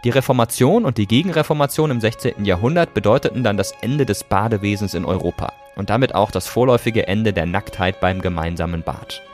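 A man's voice: on the low side, quick pace, few pauses, darkish tone, faint music behind. A man hosting a podcast, reading scripted narration in a conversational way that addresses listeners directly. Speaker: podcast host.

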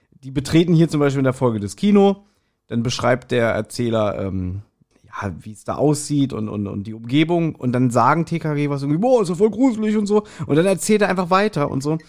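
A man's voice: medium pitch, lively intonation, a little fast. A man co-hosting a podcast, chatting casually as he reviews audio dramas and acts out short quotes. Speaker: podcast co-host.

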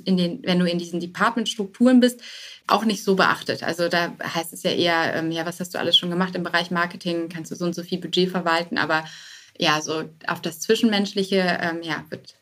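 A woman's voice: lowish voice, a bit bright. A woman speaking as a founder in a casual interview, thinking aloud informally with some English business terms. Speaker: podcast guest, start-up founder.

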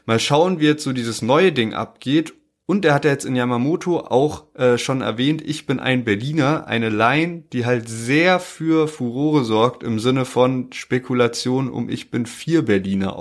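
A young man co-hosting a casual podcast chat, talking loosely with lots of fillers and asides. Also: medium pitch. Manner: relaxed, rambling, many fillers. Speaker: podcast co-host. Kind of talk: casual chat about rap releases.

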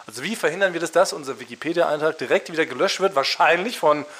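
A man's voice: fairly high pitch, fast, a bit bright. A man hosting a casual chat among friends in a playfully melodramatic manner. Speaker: podcast host. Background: background music under the voice.

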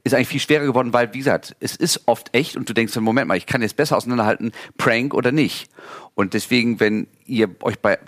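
A man's voice: medium pitch, quick pace, no room sound, clean studio sound. A man in his forties, a filmmaker talking casually in an interview, rambling with many fillers and restarts. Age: 40s.

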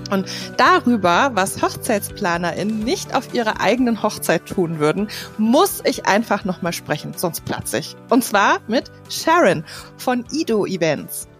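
A woman in her thirties hosting a podcast, chatty and lively, laughing often as she talks with her guest. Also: mid-range voice, a little slow, soft background music. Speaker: podcast host, wedding planner. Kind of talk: podcast interview, casual chat. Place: home.

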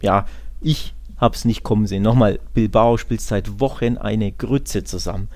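A man's voice: lowish voice, normal speed, darkish tone, dry recording. A man co-hosting a football podcast in casual discussion, talking colloquially and emphatically and often rephrasing himself.

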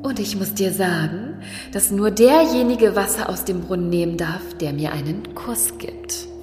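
Woman as a breathy, low, sultry enchantress. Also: dark tone, echoey room, in a large hall, a little hiss or hum, lightly acted. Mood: seductive. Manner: breathy, slow, teasing. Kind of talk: fairy-tale radio play acting.

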